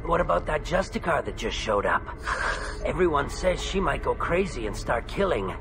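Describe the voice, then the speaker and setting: A man's voice: on the high side, very animated, moderate pace, gravelly, over music. A man playing an indignant, defensive merchant in a video game, complaining as he speaks.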